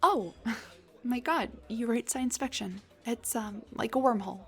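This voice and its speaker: A young woman voicing an embarrassed, self-deprecating headless dullahan on a date, in an intimate roleplay, breathy and hesitant, often slipping towards a whisper. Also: fairly high pitch, lively intonation, measured pace. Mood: relaxed, chatty. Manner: hesitant, stammering, joking. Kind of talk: intimate audio roleplay acting.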